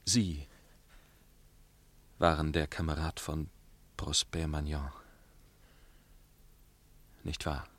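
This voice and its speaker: An actor playing a tormented, lovelorn gentleman torn by conscience, speaking formally and earnestly in a radio drama. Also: a bass voice, little pitch movement, drawn-out words, long pauses, full character voice. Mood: pressing, tense. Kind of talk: German radio drama acting, narration and dialogue.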